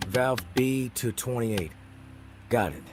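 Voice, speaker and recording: A man playing a laconic, wary apocalypse survivor in a video game, speaking tersely and deliberately. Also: mid-range voice, lively intonation, noisy background. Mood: focused, terse. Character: wary, laconic male zombie-apocalypse survivor following instructions.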